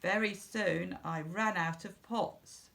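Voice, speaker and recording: Slow-reading woman with a creaky voice. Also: on the low side, a bit bright, a little hiss or hum. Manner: slow, deliberate, simple phrasing for young children. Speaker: school storyteller. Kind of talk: expressive read-aloud of a children's storybook.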